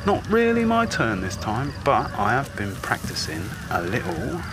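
A proud chatty magpie, voice leaps all over, theatrical, noisy bird ambience behind. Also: male voice, medium pitch, wildly swooping pitch, a little slow. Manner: self-important, theatrical, talking to himself. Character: proud, sentimental, chatty magpie organiser of a flock. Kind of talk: audio drama acting. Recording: outdoor ambience with bird honks.

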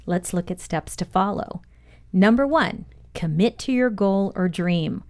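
A woman presenting a scripted motivational podcast lesson, reading aloud in a measured, deliberate, instructional way. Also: mid-range voice, animated, a little mellow.

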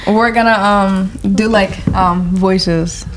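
A woman's voice: mid-range voice, fairly even intonation, takes it slowly, crowd noise. A teenage girl guest in a casual chat, laid-back and giggly. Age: teens.

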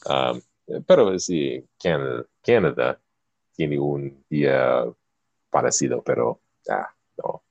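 Very deep, slow, halting male learner. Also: vocal fry, darkish tone, no room sound, lo-fi sound. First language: English. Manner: halting, searching for words, many fillers. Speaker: podcast host. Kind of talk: casual chat, learner's Spanish.

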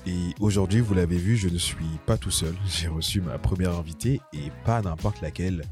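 Low-voiced male podcast host. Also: darkish tone, faint music behind. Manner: earnest, measured, many fillers when asking. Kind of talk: podcast interview, scripted intro.

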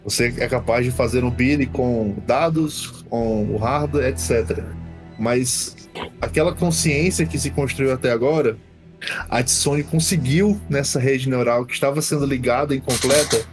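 A man with a Brazilian accent improvising narration as game master, haltingly repeating words. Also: mid-range voice, over music.

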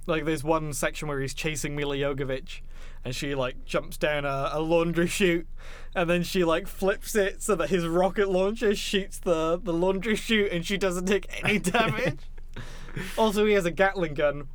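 A man with a fairly high-pitched voice chats casually as a podcast co-host. He is an enthusiastic, opinionated fan who talks films in an informal way. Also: fairly even intonation, moderate pace. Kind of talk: casual film discussion.

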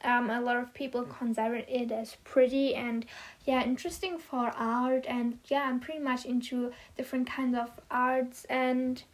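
A woman's voice: on the high side, steady tone, moderate pace, poor audio. A fifteen-year-old girl whose first language is German, chatting casually about herself with lots of fillers and giggles. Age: teens. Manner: giggly, rambling, many fillers. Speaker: vlogger answering questions about herself. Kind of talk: casual chat.

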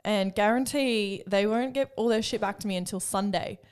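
A woman's voice: mid-range voice, moderate pace, smooth. A woman co-hosting a podcast, chatting casually with plenty of fillers. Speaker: podcast co-host and coach. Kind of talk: casual chat.

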